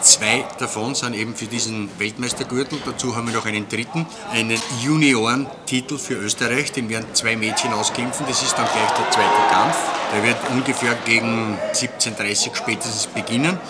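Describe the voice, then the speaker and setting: A man's voice: medium pitch, fairly even intonation, fairly quick, hardly any pauses. A man from Austria with an Austrian accent, an event organiser explaining things in an interview from a sports hall. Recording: very noisy venue ambience.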